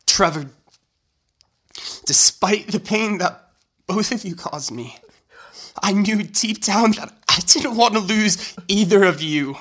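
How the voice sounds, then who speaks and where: A man's voice: high voice, animated, takes it slowly, pauses often, a bit bright, slightly rough, heavily acted. An actor playing a tender, resolute young man, speaking earnestly and emotionally in an audio drama. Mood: earnest, heartfelt. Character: self-sacrificing young groom-to-be, tender, resolute male friend.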